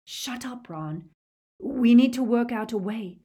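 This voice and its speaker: A woman's voice: fairly high pitch, animated, slow pace, warm tone, breathy edge, voice pushed up, full character voice. A woman voicing a practical teenage boy taking charge, urgent and insistent, in an audiobook.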